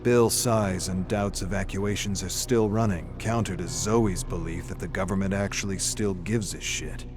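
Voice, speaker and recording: A man's voice: sits low, steady tone, normal speed, hardly any pauses, lightly acted. A man playing a grizzled, cynical older war veteran, narrating a dramatic story in folksy tough-guy slang. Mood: weary, reflective. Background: loud music.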